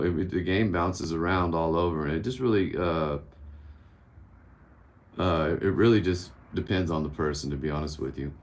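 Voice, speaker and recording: A man around fifty hosting a casual live-stream Q&A, candid and blunt, rambling and swearing casually. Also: low voice. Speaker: livestream host answering viewer questions.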